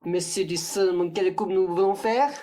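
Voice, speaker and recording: Woman as a mellow, scheming male crook. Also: fairly low pitch, fairly even intonation, moderate pace, natural voice. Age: young adult. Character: male crook, matter-of-fact, scheming accomplice. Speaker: student actor in a radio play. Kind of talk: theatrical radio-play acting.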